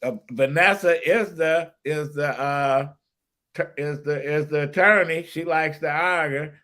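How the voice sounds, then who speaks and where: A man's voice: on the high side, steady tone, a little slow, sharp tone. A middle-aged man from the Detroit area hosting a podcast, talking boastfully and stumbling over his words. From Detroit area, Michigan. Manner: boastful, stumbling, laughs at own jokes. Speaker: podcast host. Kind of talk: casual podcast chat.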